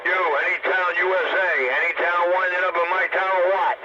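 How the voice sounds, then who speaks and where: A man's voice: sits high, steady tone, brisk. Brash New man on noisy CB radio. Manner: brash, slangy. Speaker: CB radio operator. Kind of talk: casual CB radio banter. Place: over a CB radio link. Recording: noisy muffled CB radio transmission.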